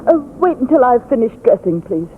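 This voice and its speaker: High, nervous genteel lady. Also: sing-song, brisk. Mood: frightened pleading. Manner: anxious, fluttery, pleading. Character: frightened, genteel plantation lady, nervous and pleading. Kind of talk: 1940s radio drama acting. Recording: tinny, noisy 1940s radio recording.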